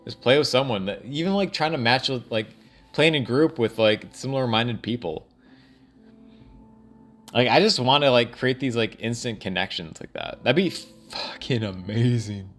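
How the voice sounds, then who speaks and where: A man thinking aloud in a rambling, casual audio log, halting and often trailing off mid-thought. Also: mid-range voice, a bit bright, faint music behind. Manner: casual, profane.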